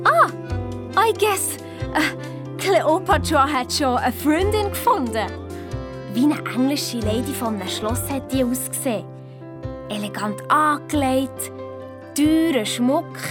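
A woman playing an eager, self-assured young girl with a Swiss German accent, telling a children's radio play. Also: sits high. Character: eager dog-loving young girl, hotel owners' daughter. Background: music.

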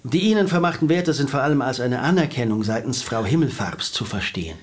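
Casting: male lawyer for radio drama, mid-pitched, measured and officious, courteous, exact with legal phrasing played by a man. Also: lively intonation, lightly acted. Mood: formal.

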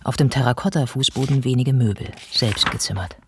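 An actor playing an observant, factual narrator in scripted radio drama. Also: male voice, medium pitch, brisk, background noise, lightly acted.

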